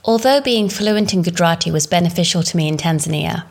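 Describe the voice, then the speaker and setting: A woman in her thirties from England, giving a scripted, formal narration for a teaching presentation in a measured way. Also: on the low side, vocal fry. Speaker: education student presenting.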